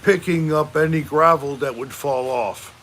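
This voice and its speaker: A goofy old Icelandic man spins rambling tall tales in a slow, loud delivery, as a host puts him on. The voice sits higher and brighter than an ordinary man's. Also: slight background noise.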